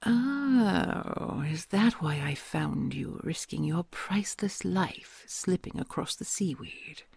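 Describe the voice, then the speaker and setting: Whispery breathy dragon queen, woman doing her, real slow and gravelly, super dry close sound, kind and doting. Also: lowish voice, animated, no room sound, heavily acted. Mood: tender, teasing. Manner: soft hushed storytelling, affectionate and gently teasing. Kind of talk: ASMR audio roleplay.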